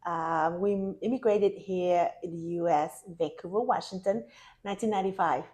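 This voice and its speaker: A woman's voice: on the low side, normal speed, darkish tone. Earnest woman guest. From Vietnam. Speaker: interview guest, parish council member. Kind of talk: casual interview.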